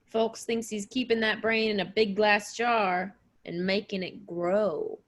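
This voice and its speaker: A woman's voice: medium pitch, fairly even intonation, measured pace, lightly acted. A woman voicing a folksy, gossipy small-town male sheriff with hesitant, rustic phrasing in a radio play. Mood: folksy, cautioning.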